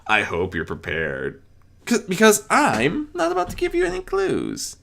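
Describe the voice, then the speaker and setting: Chaos spirit, a man acting: male trickster, dry menace, pitch swinging wildly, storybook gamemaster. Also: huge pitch swings, normal speed, creaky, fairly bright tone, heavily acted. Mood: taunting.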